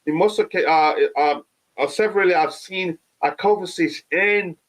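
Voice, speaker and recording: A man, hosting a live fan stream and reading viewer comments, halting with many fillers, his voice still touched by a cold. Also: sits high, fairly bright tone. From Nigeria. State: recovering from a cold. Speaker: fan channel host.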